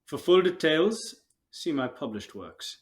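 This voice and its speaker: A man's voice: a little high, sing-song, unhurried. A man playing a vain, showy wizard teacher in an audio drama, pompous and theatrical. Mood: boastful. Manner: pompous, theatrical, self-satisfied.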